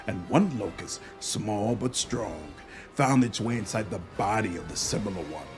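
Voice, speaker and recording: An actor playing an ancient, arrogant locust demon lord in a video game, rasping and speaking slowly and grandly. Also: male voice, fairly low pitch, animated, music in the background, lightly acted. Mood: ominous, lecturing. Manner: slow, grandiose, speaks of himself in third person. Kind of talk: video game villain acting.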